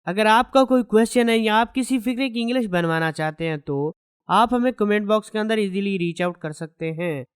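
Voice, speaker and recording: A man teaching English, reading each short sentence slowly twice with its translation. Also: high voice, clear, resonant tone, no room sound, studio quality. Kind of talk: slow sentence drills with translations.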